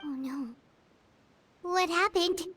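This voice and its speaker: A woman's voice: very high voice, slow pace, fairly bright tone, a little hiss or hum, exaggerated voice. A woman voicing a small, curious, earnest childlike companion in video game story dialogue, eagerly asking questions. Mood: worried. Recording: children's music under the voice.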